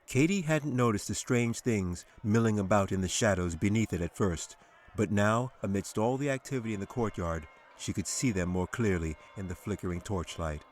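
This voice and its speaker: Low-pitched male fantasy storyteller. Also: a little mellow, slight background noise. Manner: measured dramatic storytelling. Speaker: author reading own audiobook. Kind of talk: scripted fantasy audiobook narration.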